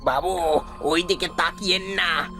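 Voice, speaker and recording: A man playing an eerie old ox-cart driver who gives cautioning warnings in an animated horror story. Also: high-pitched, slow, music in the background. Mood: ominous warning. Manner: ominous, cautioning.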